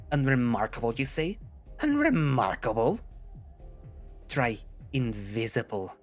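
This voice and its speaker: A man's voice: mid-range voice, natural voice. A man voicing a bitter, vain chameleon villain in a melodramatic, pompous way, with a put-on French accent. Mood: indignant. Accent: mock French.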